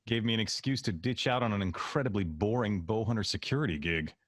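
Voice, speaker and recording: A man's voice: fairly low pitch, quick pace, fairly bright tone, no room sound, studio quality. A man playing an easygoing, no-nonsense security worker in an audio drama, casual and wry. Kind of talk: conversational audio drama acting.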